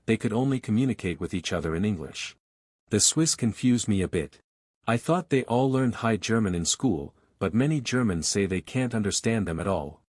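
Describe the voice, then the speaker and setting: Man, narrator, low voice, calm reading, dry recording. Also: moderate pace, no echo. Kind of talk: reading aloud a first-person online story.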